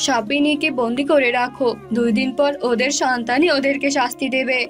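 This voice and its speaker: A stern cartoon king acted by a woman, fatherly and commanding. The voice is high and formal, with light music behind it. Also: fairly even intonation, moderate pace, close to an everyday voice. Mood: stern, angry.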